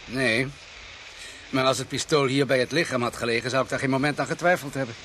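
An actor playing a blunt, matter-of-fact village doctor in a radio drama, with a dry, clinical, outspoken manner. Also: male voice, medium pitch, fairly even intonation, brisk, a bit bright, slight background noise, close to an everyday voice.

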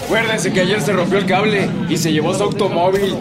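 A male actor with a Mexican accent playing a greedy, callous revolutionary soldier with a gravelly voice in a radio drama. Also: high-pitched, fairly even intonation, a little fast, natural voice. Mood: cautioning. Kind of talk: Spanish-language radio drama acting. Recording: loud music and crowd behind.

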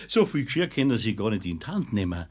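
Radio-play tease: Bavarian, husky and extremely animated, mischievous, needling, theatrical delivery. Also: male voice, fairly low pitch, quick pace. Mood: teasing. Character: teasing, mischievous male acquaintance, gossipy needler. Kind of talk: 1970s children's radio play acting.

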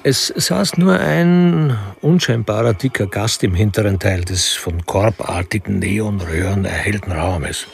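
An actor narrating a literary crime radio play as a wry, reflective storyteller. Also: male voice, on the low side, unhurried, vocal fry, few pauses. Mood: dry.

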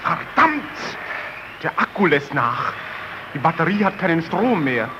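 A man's voice: on the high side, very animated, unhurried. A male actor playing a desperate radio operator stranded on polar ice in a vintage radio play, cursing and calling out. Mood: frustrated despair. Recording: noisy, dull old radio recording.

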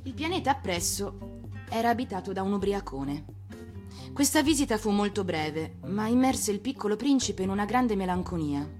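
Female radio storyteller: expressive fairy-tale narration, medium pitch, faint music underneath. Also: normal speed.

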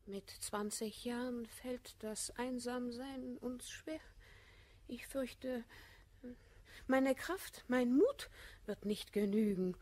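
A self-possessed young society woman in a classical radio drama. She speaks slowly and elegantly in verse. Her voice is slightly high, with a husky edge. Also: mellow, dry recording. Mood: reluctant, dismayed. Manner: measured, elegant declamation of verse. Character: worldly young woman of twenty, contrite yet self-possessed.

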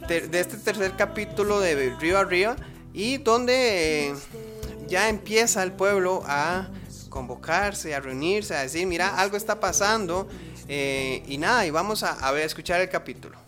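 A man. He is chatty and unscripted, often pausing and repeating words, with music clearly playing behind him. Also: sits high, animated, a bit bright. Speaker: radio show host. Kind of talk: informal unscripted show introduction.

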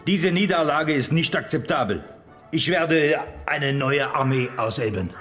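An actor in a radio play playing a boastful, defiant emperor with grandiose, declamatory delivery. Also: male voice, fairly high pitch, expressive, measured pace, a bit bright, soft background music.

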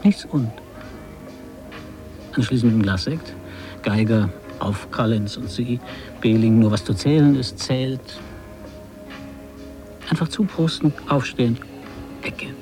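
An actor playing a cool, skeptical radio gag writer and commentator in a 1980s radio play, speaking in a dry, pointed way. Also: male voice, mid-range voice, measured pace, long pauses, darkish tone, soft background music, lightly acted. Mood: dry, bitter.

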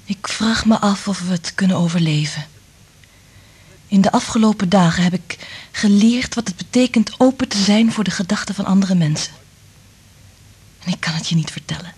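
An actress playing a sensitive, anxious woman in love in a radio play, speaking in a hushed, intimate, half-whispered voice. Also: medium pitch, normal speed, breathy edge. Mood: fearful, anguished. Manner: hushed, intimate, careful phrasing. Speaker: radio drama actor. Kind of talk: Dutch radio play acting.

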